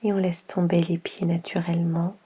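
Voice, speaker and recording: A woman guiding a yoga nidra session in a soft, half-whispered voice, unhurried, with long pauses between gentle instructions. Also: lowish voice, little pitch movement, breathy edge. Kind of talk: slow guided meditation.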